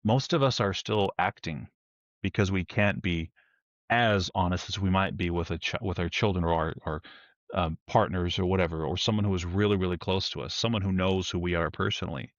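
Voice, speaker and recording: A man with a deep voice, talking candidly in a rambling way, often correcting himself and joking. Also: fairly even intonation, brisk, no room sound, lo-fi sound. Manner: rambling, self-correcting, uses humor; louder, forceful speaking tone. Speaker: podcast guest. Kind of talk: candid conversational talk.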